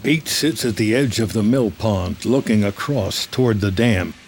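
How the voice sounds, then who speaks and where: A man's voice: lowish voice, a little slow, darkish tone, a little hiss or hum. A man with an American accent reading scripted screenplay narration in the present tense.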